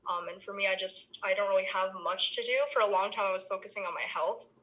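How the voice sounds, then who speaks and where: A young woman from the Philippines answers interview questions with a bright, sharp tone. Her voice is a little husky and breathy. She talks casually, with lots of ums and restarts. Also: mid-range voice, fairly even intonation, quick pace, poor audio. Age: young adult. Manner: chatty, many ums and restarts. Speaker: interviewee, university student.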